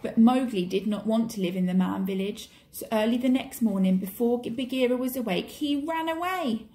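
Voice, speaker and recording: A woman's voice: on the high side, normal speed, warm tone. A woman reading a children's story expressively, like a teacher to pupils.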